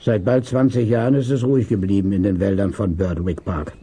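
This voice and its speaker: An actor playing a grave, plain-spoken woodsman in a children's audio drama, with a gruff, raspy voice. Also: on the low side, steady tone, brisk, mellow. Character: sober, regretful adult woodsman, protective of animals. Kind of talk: 1970s German children's audio drama acting.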